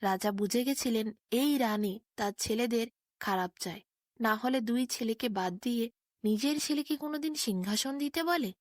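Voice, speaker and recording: A woman's voice: on the high side, normal speed, darkish tone, no echo, clean studio sound. A woman reading a scripted fairy tale as a storyteller.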